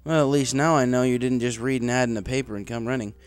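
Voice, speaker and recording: A man's voice: medium pitch, steady tone, fast, clear, resonant tone, close to an everyday voice. A man voicing an easygoing, businesslike adult male pegasus boss in a story, casual, frank and matter-of-fact.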